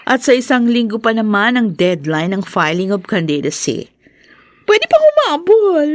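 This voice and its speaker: A woman's voice: on the high side, wildly swooping pitch, moderate pace, no room sound. An actress playing a spoiled, ambitious woman of a political family in an audio drama, with a playful, exclaiming delivery. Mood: eager, coaxing. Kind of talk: melodramatic audio drama acting.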